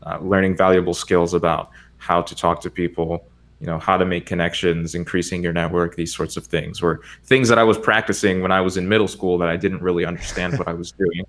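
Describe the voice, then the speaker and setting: A young man with a deep, low-pitched voice and an American accent, whose first language is Spanish. He talks as a podcast guest in a loose, casual way, wandering through his points with plenty of "like" and "um". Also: flat delivery, brisk, fairly bright tone. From United States. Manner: rambling, lots of "like" and "um" fillers. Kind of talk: casual chat.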